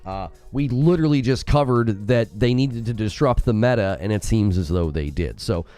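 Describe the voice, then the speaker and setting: A man hosting a gaming news live stream, chatty and opinionated, his voice slightly hoarse and lower while recovering from being ill. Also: animated, moderate pace, dark tone, soft background music. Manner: opinionated, chatty, thanks viewers by name. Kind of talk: live-stream commentary and chat shout-outs.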